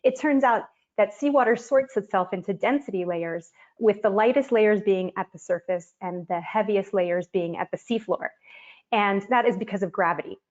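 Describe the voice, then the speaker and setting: A woman's voice: medium pitch, moderate pace. A woman from Miami, a physical oceanographer, giving a friendly explanatory science demonstration over a video call with frequent ums. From Miami, Florida. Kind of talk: online science presentation and demo. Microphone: webcam on a video call. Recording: dull lo-fi video call audio.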